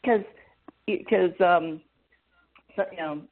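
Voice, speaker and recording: A man with a fairly high voice for a man, speaking as a guest hypnotherapist in a remote interview and explaining things in a measured, thoughtful way. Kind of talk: interview over a remote call. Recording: phone-like call line with static.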